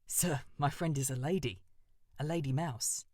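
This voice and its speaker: A man's voice: fairly high pitch, normal speed, darkish tone, no echo, studio quality, natural voice. A man voicing a polite, eager young male crow in a storybook reading. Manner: polite and respectful.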